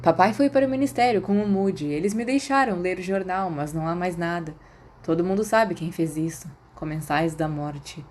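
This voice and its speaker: A woman's voice: lowish voice, expressive, moderate pace, darkish tone, a little hiss or hum, close to an everyday voice. A woman voicing an earnest, protective teenage boy in an audiobook story. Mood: grave.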